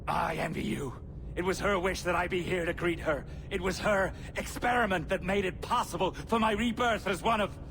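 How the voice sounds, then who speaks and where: An actor playing an eerie, fanatical reborn devotee in a video game, breathy and ominous, often lapsing into whispers. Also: male voice. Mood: bitter, scornful. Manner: slow, ominous, contemptuous of humans. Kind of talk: video game villain monologue. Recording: loud rumbling noise behind.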